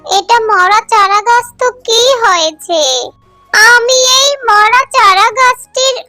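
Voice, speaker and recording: Very high-pitched earnest little cartoon girl. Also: slow, pushed and bright, no echo, faint music behind, exaggerated voice. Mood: earnest, determined. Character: earnest, kind-hearted little animal girl Mina. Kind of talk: children's cartoon voice acting.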